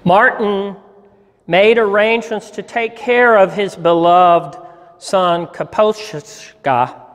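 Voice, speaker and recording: A man in his sixties, a pastor, giving a dramatic storytelling reading from a pulpit with measured, deliberate phrasing. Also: high-pitched, fairly bright tone. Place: church sanctuary, at a lectern. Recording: reverberant hall, long echo.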